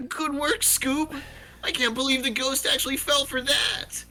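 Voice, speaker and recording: A woman voicing excitable, plucky cartoon sidekicks in a story read-along. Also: high-pitched, unhurried, faint music behind. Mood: gleeful. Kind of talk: group fan-fiction read-along with character voices.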